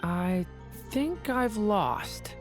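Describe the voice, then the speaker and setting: An actor voicing a gentle, polite young dragon boy in a video game, soft-spoken, thoughtful and earnest. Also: medium pitch, expressive, drawn-out words, over music. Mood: resigned, determined. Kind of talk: video game character voice acting.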